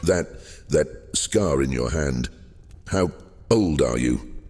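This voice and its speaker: A man playing an old, fading captive djinn in a fantasy audio drama, courteous and wheedling, with silky theatrical delivery and hesitant pauses. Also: a bass voice, expressive, darkish tone, husky, exaggerated voice. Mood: wheedling, crafty. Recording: long cavernous reverb, music underneath. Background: music.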